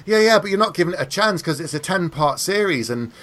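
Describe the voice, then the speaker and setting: A man's voice: sits high, animated, fast, fairly bright tone. A man chatting casually on a book podcast, opinionated and conversational, acting out little imagined exchanges to make his points.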